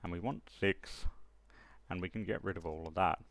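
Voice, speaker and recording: Low-voiced man walking through a tutorial. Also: steady tone, moderate pace, gravelly, dry recording. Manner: step-by-step, informal explaining. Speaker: tutorial presenter. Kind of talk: casual screen-recorded software tutorial narration.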